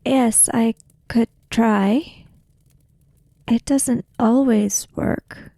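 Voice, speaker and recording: A woman playing a hesitant, polite young woman who speaks to spirits, in halting, soft, formal speech for a tabletop roleplay. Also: fairly high pitch, steady tone, dry recording, clean studio sound, exaggerated voice. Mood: hesitant, earnest.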